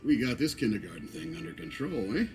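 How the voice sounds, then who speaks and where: An actor playing a loyal, anxious male cowboy toy who leads the other toys, talking earnestly and quickly in animated film dialogue. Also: medium pitch, soft background music. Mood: upbeat. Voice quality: earnest, fast-talking, emphatic.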